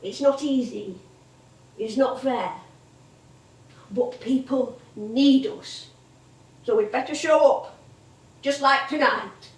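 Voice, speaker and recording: Woman to play elderly Northern English stage comedienne, homely and wistful, unhurried, heard far off in an echoey theatre. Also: sits high. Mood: earnest, wistful. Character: old, warm, chuckling music-hall comedienne. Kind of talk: stage monologue and dialogue acting. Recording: distant mic in echoey theatre.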